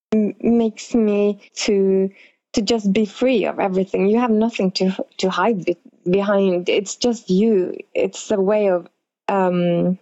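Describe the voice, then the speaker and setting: A woman's voice: mid-range voice, warm tone, no echo, lo-fi sound. A woman with a Norwegian accent giving reflective answers as an interview guest, hesitant and searching for words. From Norway.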